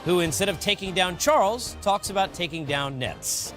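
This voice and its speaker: A man's voice: high voice, expressive, moderate pace, over music. A man hosting a casual studio sports discussion, putting questions to his guests. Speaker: sports show host.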